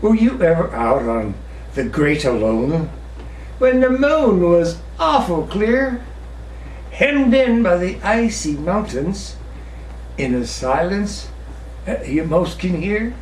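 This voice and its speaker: A man reciting a narrative ballad in a theatrical, expressive storytelling manner with drawn-out pauses. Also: high voice. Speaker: poetry reciter. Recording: roomy, distant mic with faint noise.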